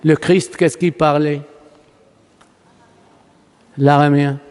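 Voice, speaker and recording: A Palestinian man, a native Arabic speaker with a Palestinian Arabic accent, preaching a sermon as a priest in an emphatic, oratorical manner with rhetorical questions and pauses. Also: a little high, level tone, a little mellow, clear, resonant tone. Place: large church, preaching to a congregation. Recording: long reverberant church hall echo.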